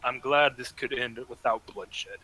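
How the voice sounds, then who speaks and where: A man's voice: mid-range voice, a little slow, bright, forceful tone, low-quality recording. A man voicing a mocking male werewolf pack leader in an amateur online table read. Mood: taunting. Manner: taunting, swaggering.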